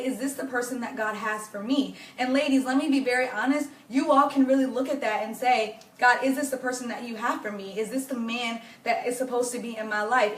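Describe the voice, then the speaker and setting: A young woman with a hoarse, raspy voice, chatting earnestly with viewers during a live stream from her home. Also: on the high side, recorded from a distance. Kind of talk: casual faith-based advice talk to viewers. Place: home living room. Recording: echoey room, voice off-mic.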